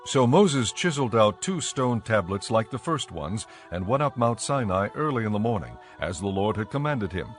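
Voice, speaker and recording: Music runs under a man narrating an audio Bible. His voice is a little low, and he reads the script in a measured, formal way. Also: big pitch swings.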